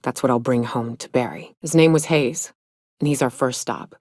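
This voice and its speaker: An actress playing a tough, guarded woman hunter in a video game, in her thirties, with a blunt, folksy drawl and rough slang. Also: a bass voice, normal speed, darkish tone, no room sound, studio quality. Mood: somber, reflective. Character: tough, gruff, guarded woman monster hunter in a spaceship crew. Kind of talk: video game character dialogue.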